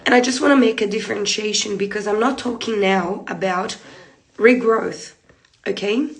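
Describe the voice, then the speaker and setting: A woman in her thirties with a hoarse voice from a cold, chatting through a live hair tutorial from a small room on a close phone camera. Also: mid-range voice, moderate pace. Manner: chatty, repeats points, gestures while talking. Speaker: hair treatment educator. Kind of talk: live-streamed tutorial talk. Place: small room indoors, seated at a desk. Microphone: phone camera close in front.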